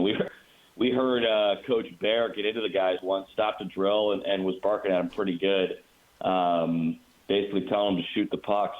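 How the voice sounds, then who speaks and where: An American man, a radio play-by-play announcer, giving conversational sports analysis as a guest over a remote call. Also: on the low side. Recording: muffled phone-like call.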